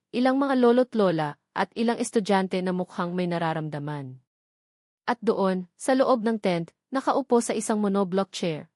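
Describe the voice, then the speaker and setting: A woman narrating a scripted love story in a sentimental, measured way with dramatic pauses, switching between Tagalog and English. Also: mid-range voice, a little mellow, no echo, studio quality.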